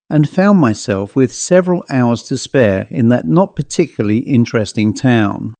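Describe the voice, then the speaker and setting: A man narrating a literary ghost story as an audiobook, in a measured storytelling manner. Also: mid-range voice, dark tone, no echo.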